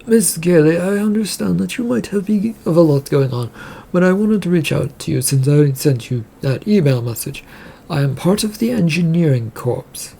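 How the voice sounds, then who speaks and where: Narrator acting military engineer, polite, earnest, formal, husky, sci-fi audiobook. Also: medium pitch, normal speed, mellow, pitched above the performer's own voice. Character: military engineer leaving a formal recorded message.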